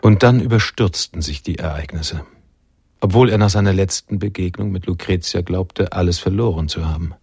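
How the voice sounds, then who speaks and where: A sombre noir storyteller narrating a radio drama. His voice is deep and husky, recorded very dry, with a measured, reflective delivery. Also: a little mellow, no room sound, faint music behind. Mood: grave, reflective.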